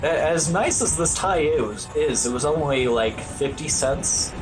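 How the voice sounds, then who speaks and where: A man's voice: fairly high pitch, animated, unhurried, poor audio. A man giving casual live commentary on his own speedrun, with wry, understated humor. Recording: loud game music behind voice. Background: video game music.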